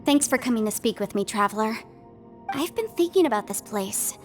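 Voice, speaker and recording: Woman as higher-voiced, composed young investigator. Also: lively intonation, soft background music, natural voice. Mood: thoughtful. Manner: measured, articulate, thoughtful. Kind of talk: video game voice acting.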